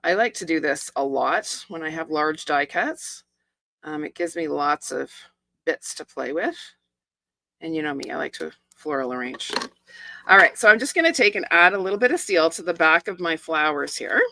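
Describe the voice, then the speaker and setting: Hoarse croaky lady walking thru a card craft demo, bright forward voice, pretty low, dry close sound. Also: lively intonation, moderate pace, no echo. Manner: chatty, explains each step with asides and small laughs. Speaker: stamping and card-making demonstrator. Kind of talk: casual live craft tutorial.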